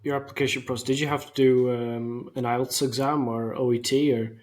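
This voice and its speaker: A man's voice: mid-range voice, flat delivery, brisk. A man hosting an interview, asking structured questions and adding practical information. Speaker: interviewer, host.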